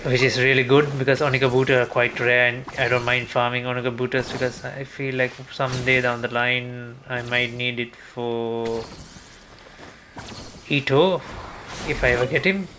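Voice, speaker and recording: A man's voice: medium pitch, steady tone, moderate pace, noisy background. A man giving casual gameplay commentary, thinking aloud as he mutters through each step to himself. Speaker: video game streamer. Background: game music.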